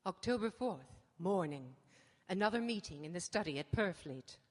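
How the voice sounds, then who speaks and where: A woman's voice: fairly low pitch, lively intonation, normal speed. A woman playing a troubled, haunted young woman in a radio horror drama, with a dreamy, suspenseful delivery. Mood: sober. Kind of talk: dramatic radio play narration and acting. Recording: echoey hall with long reverb.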